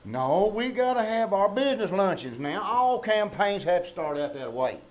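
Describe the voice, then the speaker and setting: Male actor as folksy, self-important Southern storekeeper; high, animated delivery, easily exasperated, vintage radio comedy. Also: normal speed. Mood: officious. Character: bossy, exasperated rural storekeeper running a meeting. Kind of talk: old-time radio comedy acting. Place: small-town theater stage. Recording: live theater stage, faint clatter.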